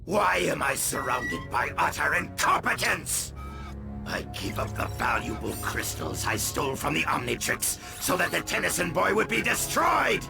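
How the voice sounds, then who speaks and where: Pompous raging cartoon villain, super gravelly, swoops wildly up and down, game music behind. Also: male voice, on the high side, very animated, normal speed, heavily acted. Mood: furious, exasperated. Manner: pompous, theatrical, grandiose. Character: raging male villain mastermind, pompous, scornful of minions. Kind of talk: animated video game cutscene acting.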